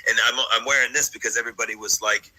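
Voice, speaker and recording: A man's voice: mid-range voice, fairly even intonation, rapid-fire, sharp tone, poor audio. An American man in his late thirties chatting casually in a rambling, jokey, slangy way as he hosts. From United States, partly South Carolina and Virginia. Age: late 30s. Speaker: host of a vlog. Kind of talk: casual reaction chat.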